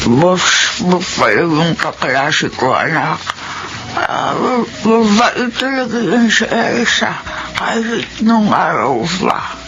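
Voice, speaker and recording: Slow-speaking, ailing grateful mother. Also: fairly low pitch, very animated, fairly bright tone, full character voice. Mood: grateful, gentle. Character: grateful, ailing middle-aged mother, heart patient. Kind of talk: Filipino radio drama acting. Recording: dull radio recording, faint noise.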